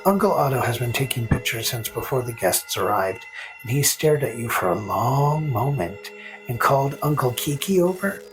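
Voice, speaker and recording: A man narrating a scripted fantasy story in the second person, with a gentle, whimsical storytelling manner. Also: mid-range voice, expressive, normal speed, low-quality recording. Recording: faint music under narration.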